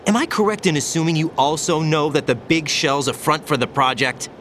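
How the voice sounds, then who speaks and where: A man's voice: on the high side, animated, fairly quick, fairly bright tone, slight background noise. A man playing an earnest, uneasy young special operative in a dramatic video game, always questioning. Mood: suspicious, uneasy.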